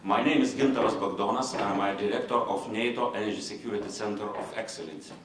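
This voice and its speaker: A man with a Lithuanian accent moderating a conference panel in formal, halting English, with many fillers and restarts. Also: on the low side, reverberant, slight background noise. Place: conference room.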